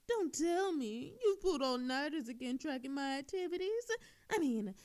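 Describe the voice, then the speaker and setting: A woman's voice: high voice, expressive, normal speed, a little mellow. A woman playing an obnoxiously flirtatious, cocky thief in a teasing, theatrical audio roleplay with a sultry drawl. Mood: smug, flirtatious.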